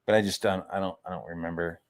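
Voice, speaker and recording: Low-voiced American man rambling casually. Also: fairly even intonation, fast. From United States. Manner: profane, slangy, repeats himself and restarts often. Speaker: livestream host. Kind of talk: rambling casual chat, telling personal anecdotes.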